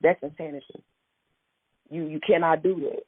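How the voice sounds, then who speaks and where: Husky woman poet on a phone line. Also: low-pitched, fairly even intonation, normal speed, no room sound. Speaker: poet and interview guest. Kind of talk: conversational, reflective talk and poetry reading. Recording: tinny, phone-like radio call-in line.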